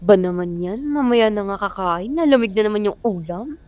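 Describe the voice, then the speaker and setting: A woman's voice: mid-range voice, animated, moderate pace, darkish tone, slight background noise, close to an everyday voice. A Filipino woman playing a cheeky, impatient younger sibling in a radio drama, teasing and complaining.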